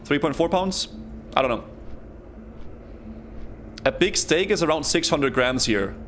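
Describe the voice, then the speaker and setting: A man from Denmark, chatting excitedly with his viewers while he streams a game. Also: a little high, measured pace, slight background noise. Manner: excitable, chatty, talks straight to chat. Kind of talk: casual live-stream commentary.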